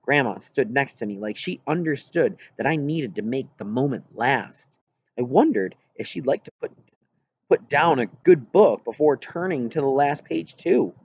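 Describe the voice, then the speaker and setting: Male narrator for fiction read-aloud: breathy, grainy tone, expressive phrasing, mildly lo-fi sound. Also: medium pitch, moderate pace. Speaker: audiobook narrator. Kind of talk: scripted storybook narration.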